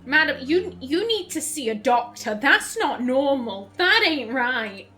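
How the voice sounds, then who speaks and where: A woman's voice: high-pitched, sing-song, measured pace, bright, forceful tone, slight background noise. A young woman chatting through gameplay as a let's play host, giggling and stumbling over her words. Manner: chatty, giggly, self-interrupting. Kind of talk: casual gameplay commentary.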